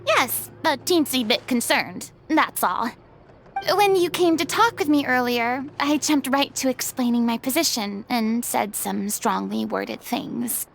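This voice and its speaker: A proud, theatrical young woman who stammers when caught off guard. Her voice sits high and carries a faint hiss behind it. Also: gravelly, a bit bright, full character voice. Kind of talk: game voice acting.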